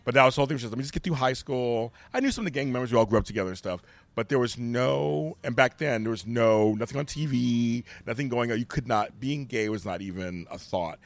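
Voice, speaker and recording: An older man from Los Angeles with a deep voice, a guest talking candidly and emphatically, often repeating himself. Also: quick pace, hardly any pauses, no room sound. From Los Angeles, USA. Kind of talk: candid conversation.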